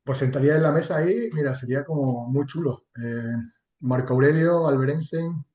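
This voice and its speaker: A man's voice: fairly high pitch, a little fast, dark tone, roomy sound, dull audio. A man from Extremadura with an accent from Spain, chatting candidly and colloquially as an interview guest, with some mild swearing. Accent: Spanish from Spain. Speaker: interview guest, chatbot marketing entrepreneur. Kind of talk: casual interview chat.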